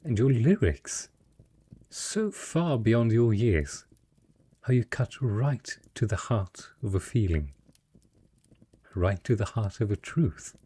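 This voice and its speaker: Man playing a husky, slow, courtly dragon patron. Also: fairly low pitch, very animated, mellow, full character voice. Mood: admiring, earnest. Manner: refined, eloquent, effusive. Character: dragon disguised as a wealthy male patron, possessive, courtly, effusive. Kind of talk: romantic fantasy audio roleplay addressed to the listener.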